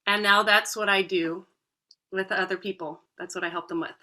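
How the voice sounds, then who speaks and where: A woman's voice: mid-range voice, fairly even intonation, normal speed, bright, forceful tone. A woman in her thirties, a voice coach, telling her own story as a guest in an earnest, heartfelt way. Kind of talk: podcast interview.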